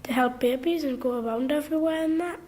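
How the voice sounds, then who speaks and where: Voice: sits high, fast talker, darkish tone, a little hiss or hum, lightly acted. A performer playing an eager, naive working-class schoolboy in a radio drama, with a Geordie accent and an earnest, questioning way of talking.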